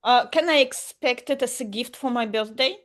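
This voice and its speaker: A woman's voice: a little high, lightly acted. A woman playing a vain, wealthy middle-aged mother who brags about having the best of everything, in a put-on British accent. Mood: haughty. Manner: haughty, boastful, unhurried. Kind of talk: improvised comedy scene.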